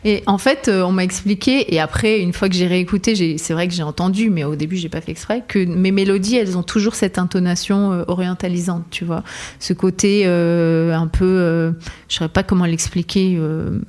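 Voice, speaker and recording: A woman's voice: fairly low pitch, steady tone, a little fast, darkish tone. A woman singer who grew up between France, Lebanon and Ivory Coast, talking in a casual interview in a reflective, searching way, with many hesitations and frequent laughter. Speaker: singer, guest.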